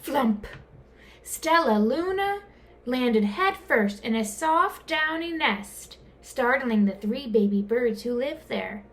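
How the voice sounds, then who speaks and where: A woman reading a children's storybook aloud in an expressive, dramatic way, drawing out words. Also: high-pitched, takes it slowly. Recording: clear background noise.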